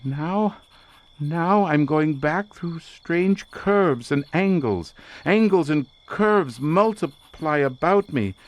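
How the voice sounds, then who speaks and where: Male researcher, horror audio drama: entranced, theatrical, incantatory, higher-pitched and highly animated. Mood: eerie wonder. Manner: theatrical, incantatory, halting with repeated words. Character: obsessed male researcher in a drugged, visionary trance.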